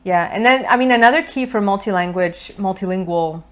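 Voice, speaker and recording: A woman's voice: medium pitch, brisk. A woman in her thirties chatting casually on a couch, giving seller advice in an explanatory way, recorded with a lapel mic. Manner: chatty and explanatory, lots of fillers. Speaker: co-host, online seller. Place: indoor living room on a couch.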